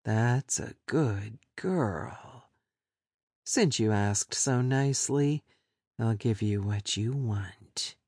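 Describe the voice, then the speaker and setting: A man voicing a cruel, controlling man who talks low, slow and coldly mocking. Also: darkish tone, breathy edge, dry recording, studio quality, exaggerated voice. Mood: smug.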